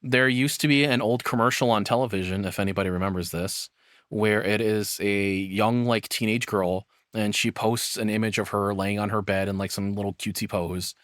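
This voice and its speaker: A man with a fairly low voice reacts to a video as a streamer would, in a close, dry recording. His delivery is informal and rambling, with casual swearing mixed in. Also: steady tone, moderate pace, fairly bright tone, no echo.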